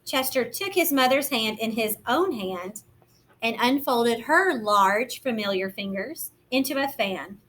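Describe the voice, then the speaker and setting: A woman reading a picture book aloud as a kindergarten teacher, gentle and expressive, explaining simply to young children. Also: a little high, unhurried, slight background noise.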